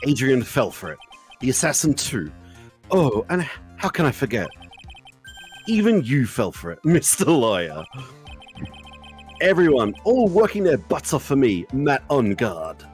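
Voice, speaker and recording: A man plays a smug game villain, a manipulative celebrity killer. His pitch swoops wildly as he drawls with mock sweetness and theatrical condescension, over background music. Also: sing-song, unhurried, pauses often. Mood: taunting, condescending. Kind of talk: live fan dub of a visual novel game.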